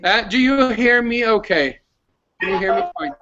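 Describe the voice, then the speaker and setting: A man's voice: sits high, animated, normal speed, highs cut off, crowd noise. A man with a Norwegian accent, a teacher talking informally and politely on a video call, with some hesitation.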